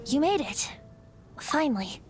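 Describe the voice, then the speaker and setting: A woman's voice: high-pitched, very animated, measured pace, faint music behind. A woman voicing a youthful, boyish wind spirit bard in a video game, playful and whimsical, with a breezy, theatrical way of telling things. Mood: breezy, sheepish.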